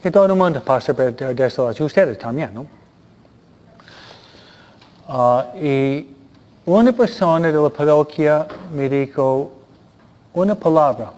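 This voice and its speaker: Priest, earnest preacher, fairly high voice, muffled lo-fi recording. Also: male voice, darkish tone. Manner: earnest, preaching with repeated pleas and rhetorical questions. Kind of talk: sermon. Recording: dull lo-fi sound.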